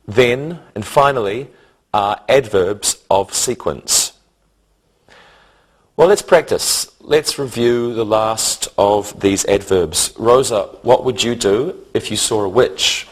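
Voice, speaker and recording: A man teaching a scripted English lesson for beginners in a deliberate, instructional way. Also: medium pitch, breathy edge, low-quality recording.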